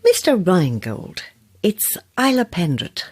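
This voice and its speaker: An actress playing a sceptical, guarded horror novelist in a naturalistic audio drama, speaking in a dry, curt way. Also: female voice, lowish voice, huge pitch swings, takes it slowly, a little mellow, breathy edge, heavily acted.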